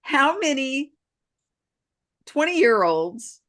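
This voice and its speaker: A woman in her sixties co-hosting a podcast, speaking slowly and thoughtfully, often chiming in with short agreement. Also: high-pitched, very animated, a bit bright. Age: 60s. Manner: slow and reflective, lots of agreeing interjections. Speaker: podcast co-host, spiritual healer. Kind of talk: casual chat.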